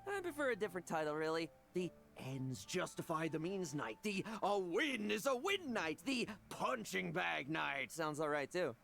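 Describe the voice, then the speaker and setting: A man's voice: a little high, big pitch swings, normal speed, vocal fry, few pauses, a bit bright, soft background music, exaggerated voice. An actor playing a playful, wry, ambitious young male knight with a teasing, casual manner in a video game. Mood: cocky.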